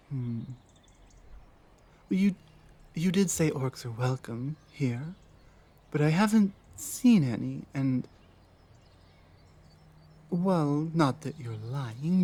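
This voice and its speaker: A man playing an earnest, honorable orc fugitive in an ASMR roleplay, speaking in a hesitant, halting, humble way. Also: a little high, a little mellow, full character voice. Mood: wary, hesitant. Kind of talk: ASMR roleplay audio drama.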